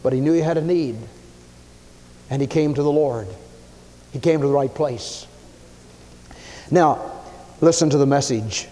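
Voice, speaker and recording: A man preaching a sermon, emphatic, swinging his pitch and loudness as he acts out the people he quotes. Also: measured pace, long pauses. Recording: long hall reverb.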